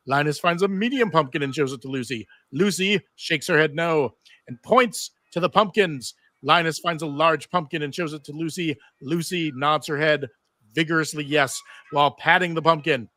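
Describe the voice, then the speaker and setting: A man's voice: on the high side, pushed and bright, no room sound. A man on a casual panel, organizing a table read and reading stage directions aloud as narrator.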